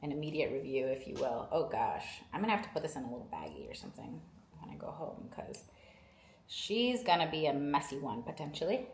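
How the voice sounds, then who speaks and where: A woman, chatting casually to camera in the early morning, relaxed and quick to laugh, with a stuffy, sniffly nose. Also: sits low, reverberant. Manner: chatty and relaxed, laughs often, drifts off mid-sentence. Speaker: vlogger. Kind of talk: casual vlog chat to camera. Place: hotel room in the early morning.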